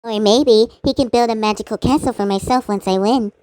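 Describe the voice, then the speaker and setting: A woman doing amateur voice acting of conversational dialogue for a fan-made animated cartoon. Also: fairly high pitch, a little fast, dry recording, low-quality recording.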